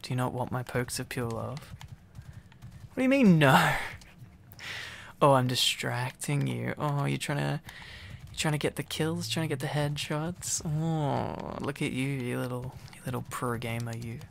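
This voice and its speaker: A young man doing a boyfriend ASMR roleplay, clingy, teasing and silly, rambling playfully with halting repetitions. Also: medium pitch, gravelly, a little hiss or hum.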